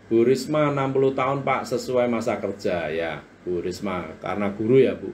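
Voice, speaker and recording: A man's voice: lowish voice, steady tone, moderate pace, a little mellow, a little hiss or hum. A man in his forties hosting a live-stream book talk, chatting to viewers and reading their comments aloud in a motivational way. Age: 40s. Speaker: live-stream business coach.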